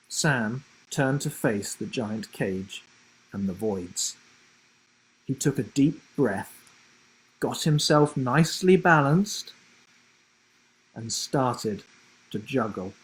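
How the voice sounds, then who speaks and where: A man's voice: medium pitch, breathy edge, low-quality recording. A man narrating his own children's book expressively, as an author reading aloud to young listeners.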